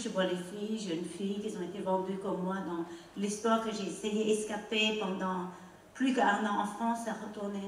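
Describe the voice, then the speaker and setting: A middle-aged woman with a Cambodian accent, Khmer her first language, speaks to a theatre audience in halting, heartfelt phrases, often checking in with them. Her handheld mic is caught from a distance, and the hall echoes heavily around her voice. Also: mid-range voice, slight background noise. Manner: halting, emotional, non-native phrasing, asks the audience "non?". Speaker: speaker on stage. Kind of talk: personal testimony to an audience. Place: dark theatre stage.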